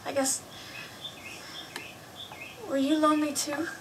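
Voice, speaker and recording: Remorseful teenage daughter, high-pitched, pleading, hesitant, radio play, slightly off mic. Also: female voice, flat delivery, slow, recorded from a distance, heavy background noise. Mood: anxious, pleading. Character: anxious, remorseful teenage daughter back from wartime America. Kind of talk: radio drama acting.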